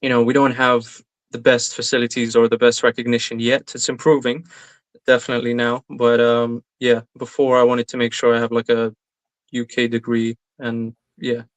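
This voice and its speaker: Young man, flat and hesitant. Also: medium pitch, moderate pace. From Iraq. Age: young adult. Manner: hesitant, many fillers. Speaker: interviewee, graduate. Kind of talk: casual interview.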